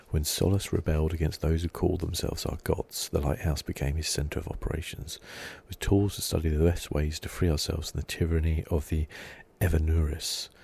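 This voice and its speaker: A soft-spoken man giving relaxed, rambling ASMR commentary as he plays a video game, thinking aloud. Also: gravelly, breathy edge. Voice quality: soft-spoken, low-key.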